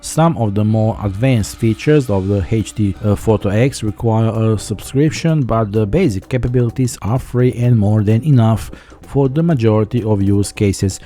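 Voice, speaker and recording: Man with a very dark, mellow voice. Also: fairly low pitch, normal speed, soft background music. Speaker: tech reviewer. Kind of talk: scripted product review narration.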